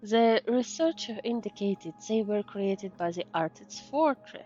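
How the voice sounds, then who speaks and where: A woman's voice: mid-range voice, lively intonation, measured pace, warm tone. A woman VTuber giving casual commentary on a game and reading dialogue aloud. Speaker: VTuber streamer. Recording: dull microphone, game music behind.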